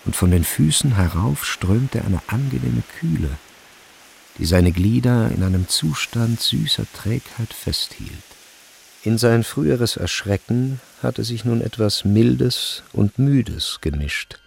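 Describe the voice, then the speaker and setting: A man narrating a literary radio play in a measured, solemn storyteller's manner. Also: mid-range voice, animated, creaky. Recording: faint background hiss.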